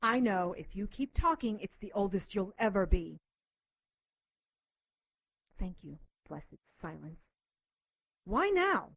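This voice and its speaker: A woman's voice: mid-range voice, very animated, slow, vocal fry, long pauses, dry recording, heavily acted, low-quality recording. Female occult investigator for radio drama: mid-range, sardonic, bossy, no-nonsense. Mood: dry, menacing, then demanding. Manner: commanding, sarcastic, threatening. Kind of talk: audio drama acting.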